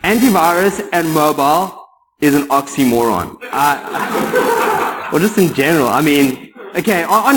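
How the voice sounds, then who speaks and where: A man's voice: a little high, fairly bright tone, slight background noise, poor audio. A man in his thirties with a South African accent gives a sarcastic, joking conference talk in a lecture room. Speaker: conference speaker.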